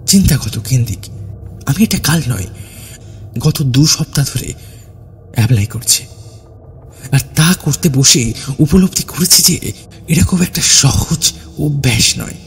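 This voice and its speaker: A man's voice: a little high, big pitch swings, a little slow, pauses often, slight background noise. A man voicing a self-assured, obsessed young man who explains earnestly and persuasively in an audio horror story. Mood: earnest persuasion.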